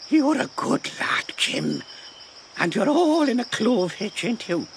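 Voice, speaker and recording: A man's voice: very high voice, sing-song, slow pace, creaky, a little hiss or hum, exaggerated voice. A man playing a ragged, half-crazed old marooned sailor in an audio drama, rambling and wheedling in rustic pirate dialect. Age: older.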